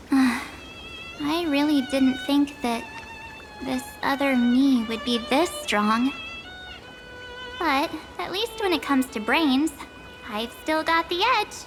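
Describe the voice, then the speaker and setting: A high-voiced, playful girl. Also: slow, pauses often, rough recording. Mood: playfully proud. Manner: playful, boastful, girlish. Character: sweet, naive girl, playfully proud of herself. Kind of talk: video game voice acting. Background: music.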